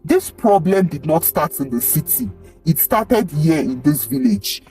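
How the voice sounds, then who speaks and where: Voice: medium pitch, big pitch swings, a little slow, warm tone, far from the mic, faint music behind. A storyteller voicing a firm male villager giving emphatic, persuasive advice. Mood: insistent.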